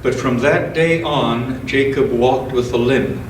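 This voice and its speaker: Slow-speaking man reading in a roomy church. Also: mid-range voice. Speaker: scripture reader at a church service. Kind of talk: church scripture reading with informal introduction. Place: indoors in a church. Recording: roomy church sound, distant mic, faint noise.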